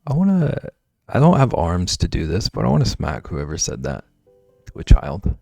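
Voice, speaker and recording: Gravelly, low-voiced man chatting on stream. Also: wildly swooping pitch, moderate pace, darkish tone, no echo, soft background music. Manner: playful, jokey and chatty. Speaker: livestreamer. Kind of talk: casual chat with viewers while drawing.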